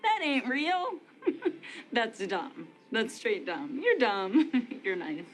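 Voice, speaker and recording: A woman acting a quirky, earnest, easily flustered young woman in a sitcom, expressive and awkward. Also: a little high, takes it slowly, slight background noise. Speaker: actor in a TV sitcom.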